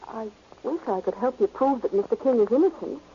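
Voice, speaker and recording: A woman's voice: a little high, lively intonation, a little fast. An actress playing a polite, earnest, slightly hesitant young cashier giving her account in a 1940s radio drama. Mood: polite, uneasy. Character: earnest, polite female beauty parlor cashier and witness. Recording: dull, noisy old radio recording.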